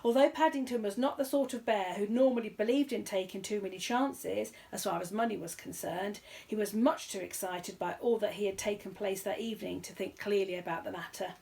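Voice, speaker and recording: Woman, storybook narration, mid-pitched, creaky, expressive reading. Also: brisk, few pauses, low-quality recording. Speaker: story reader.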